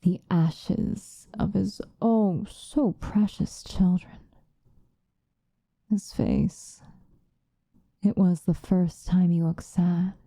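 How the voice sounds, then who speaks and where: Woman acting a slow, velvety possessive vampire lover. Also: fairly low pitch, fairly even intonation, warm tone, no room sound, exaggerated voice. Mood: gloating, cold. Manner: intimate, breathy, drawn-out seductive delivery, often dropping into whispers. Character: possessive, obsessive female vampire lover, sinister and tender. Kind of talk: ASMR roleplay monologue.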